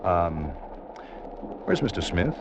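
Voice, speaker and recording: An actor playing a wry, cool American secret agent in a 1950s radio thriller, narrating and talking with a flippant, nonchalant air. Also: male voice, low voice, very animated, slow, creaky, noisy background, exaggerated voice. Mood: flippant, teasing. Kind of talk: 1950s radio thriller acting, first-person narration and dialogue.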